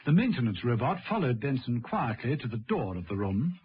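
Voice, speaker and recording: A man's voice: medium pitch, big pitch swings, fairly quick, a little hiss or hum, close to an everyday voice. A man playing the wry, dramatic storyteller of a radio serial, delivering scripted narration. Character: male arch storyteller, wryly addressing the hero. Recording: dull radio recording.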